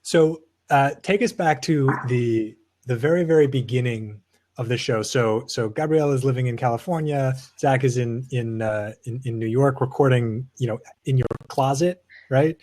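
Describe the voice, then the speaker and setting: A man hosting a casual interview, repeating words haltingly as he forms his questions. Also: mid-range voice, darkish tone. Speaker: podcast host, interviewer.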